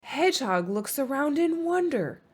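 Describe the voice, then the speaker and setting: A woman reading a children's storybook aloud in an expressive, animated and deliberate storytime style. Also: sits high, heavy background noise. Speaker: storybook reader.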